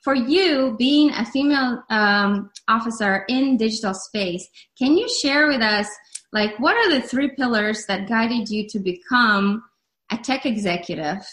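A woman's voice: on the high side, animated, a little slow, a bit bright. A woman moderating an online panel discussion, enthusiastic and repeating her words of praise.

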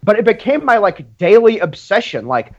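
Higher-voiced man chatting, slightly dull audio. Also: sing-song, moderate pace. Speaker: podcast co-host. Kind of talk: casual chat, personal anecdotes.

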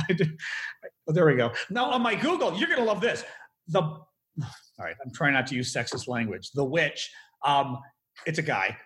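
A man from Chicago with a Midwestern American accent, hosting a casual interview in a rambling, excitable way and swearing freely. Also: a little high, normal speed. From Chicago, United States. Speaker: podcast host.

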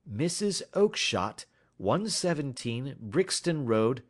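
A man voicing a shrewd, calm detective who speaks in a measured, offhand way in an audiobook story. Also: medium pitch, pitched above the performer's own voice. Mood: cool. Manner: calm, measured, careless-seeming. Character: cool, shrewd male detective, middle-aged.

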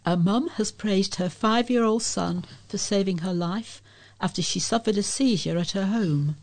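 A woman reads local news from a script as a volunteer. Her medium-pitched voice has a noticeable creak. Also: moderate pace, darkish tone. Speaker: volunteer newsreader.

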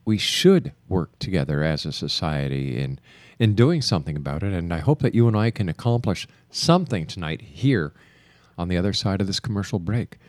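A man hosting a radio talk show, speaking earnestly and measured, rushing through announcements before breaks. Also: sits low, lively intonation, a little mellow, no room sound. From Canada. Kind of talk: radio interview.

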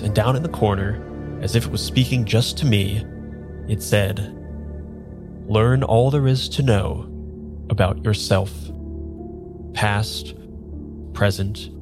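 A man playing a bewildered, weary amnesiac in an improvised noir radio drama, speaking in a measured, halting way. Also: fairly low pitch, steady tone, over music, lightly acted. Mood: brooding, lost. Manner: measured, dramatic pauses when narrating, hesitant in dialogue.

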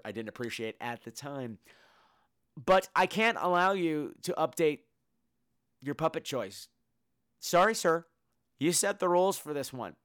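Bright-voiced, sassy man hosting a podcast. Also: fairly high pitch, smooth, no echo, studio quality. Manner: sassy, mock-indignant banter. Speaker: podcast host. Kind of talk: casual, campy comedic chat.

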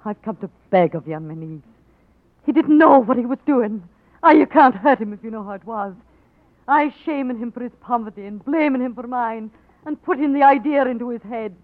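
Actress plays pleading young Irish woman, high voice, old radio play, thin tinny sound. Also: brisk, lightly acted. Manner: pleading, tearful. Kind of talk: 1940s radio acting.

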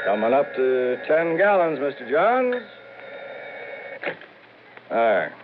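An actor playing a chatty service station attendant in a scripted 1950s radio commercial, folksy and salesy. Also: male voice, mid-range voice, sing-song, slow pace, background noise. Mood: eager. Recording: tinny, phone-like 1950s radio recording.

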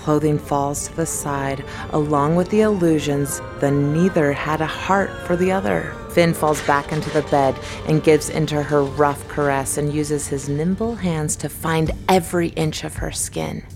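A woman's voice: low-pitched, fairly even intonation, unhurried, few pauses, music in the background. A woman giving scripted dramatic narration as the narrator of a radio play.

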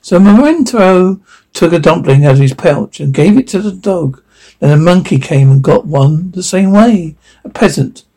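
Male story reader, gravelly, mellow, slightly high pitch, halting delivery, fairy tale narration. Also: expressive. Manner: halting, stumbles over words and corrects himself. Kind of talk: reading a fairy tale aloud.

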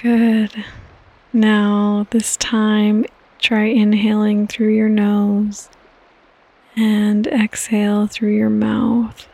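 A woman hosting a guided sleep meditation in a soft, breathy, partly whispered voice, with calm, gentle instructions and long pauses. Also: mid-range voice. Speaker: podcast host and meditation guide.

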